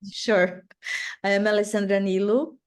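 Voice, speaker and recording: A woman's voice: mid-range voice, little pitch movement, normal speed, smooth. A woman with a Brazilian accent, an external relations director, asking a long question on a video call, polite and searching for words.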